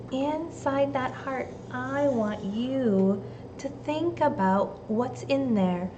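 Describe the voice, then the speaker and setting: A woman from the United States reading a storybook aloud and giving children simple, deliberate instructions like a teacher. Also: on the high side, darkish tone, dull audio, slight background noise. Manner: deliberate, simple phrasing addressed to young children.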